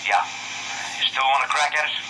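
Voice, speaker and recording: An actor playing a brisk, businesslike insurance agent on a telephone in a 1950s radio drama. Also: male voice, fairly high pitch. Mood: businesslike, cautious. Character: businesslike male insurance agent handing out risky cases. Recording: noisy, sharp old radio phone call.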